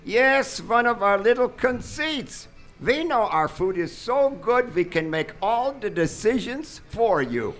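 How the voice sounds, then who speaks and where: Suave smug doctor, high voice, sing-song and slow, radio play. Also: male voice, very animated, fairly bright tone, exaggerated voice. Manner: formal, unctuous, deliberate. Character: suave, overly courteous, smug male doctor host. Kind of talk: live stage radio comedy acting.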